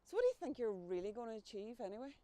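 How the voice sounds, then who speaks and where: Woman, warm mellow voice, reflective, plain-spoken narration. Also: a little high, very animated, rapid-fire. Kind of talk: documentary-style recollection and narration.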